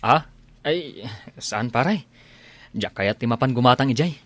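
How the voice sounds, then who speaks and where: A man's voice: medium pitch, animated, a little slow, no room sound, close to an everyday voice. A man acting in an audio drama as a chummy, flirtatious Filipino village drinking buddy who is always broke.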